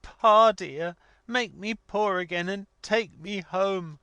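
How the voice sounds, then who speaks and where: A man's voice: high-pitched, takes it slowly, bright, forceful tone, clear, resonant tone, dry recording, pitched above the performer's own voice, exaggerated voice. A man voicing a distraught, pleading young woman in a story reading, imploring with passionate remorse. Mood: tearful, pleading.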